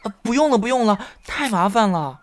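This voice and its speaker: A man playing a shy, naive young fox spirit in human form in a period romance audio drama, soft and hesitant, stammering when flustered. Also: high voice, lively intonation, fairly quick, slight background noise. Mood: embarrassed.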